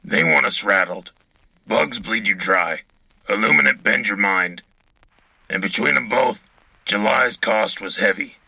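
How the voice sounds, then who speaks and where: A man playing a gruff, cynical battle-hardened soldier co-hosting a sci-fi war radio drama, gravelly and raspy, terse and sardonic. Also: on the low side, unhurried, heavy background noise. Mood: grim, weary. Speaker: radio co-host reporting from the front.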